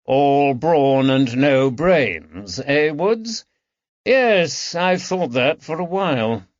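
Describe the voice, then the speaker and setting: A man voicing a brisk, sardonic gentleman surgeon in an audiobook, clipped, formal and condescending. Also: fairly high pitch, lively intonation, no room sound, muffled recording, voice pushed up. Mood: mocking. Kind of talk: audiobook character dialogue.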